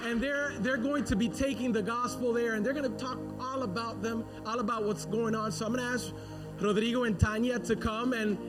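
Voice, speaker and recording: A male pastor with a notably high voice urges his congregation on with energy. He speaks from the front of a church sanctuary, with music playing clearly behind him. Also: steady tone, a little fast. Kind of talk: church service announcement.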